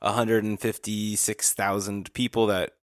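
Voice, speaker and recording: Male podcast co-host, low register, dry recording, informal and conversational. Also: flat delivery, a little slow, no room sound. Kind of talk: casual history discussion.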